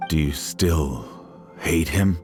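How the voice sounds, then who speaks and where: An actor playing a gentle, guilt-ridden middle-aged village man in a video game, speaking in a halting, subdued way. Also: rumbling low. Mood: regretful. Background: faint game music.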